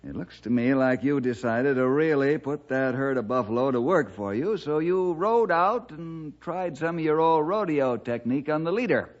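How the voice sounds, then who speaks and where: Steady, devout American investigator played by a man, measured and firm, 1960s radio drama, slightly dull archival sound. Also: on the high side, natural voice. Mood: accusing. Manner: measured, probing, moralizing. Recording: dull vintage radio recording.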